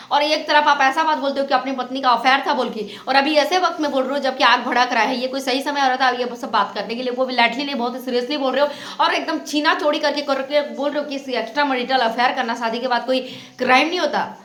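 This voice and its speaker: Woman, high voice, reproachful reaction host, insistent, echoing room. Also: steady tone, fast, hardly any pauses, fairly bright tone. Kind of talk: opinionated reaction commentary.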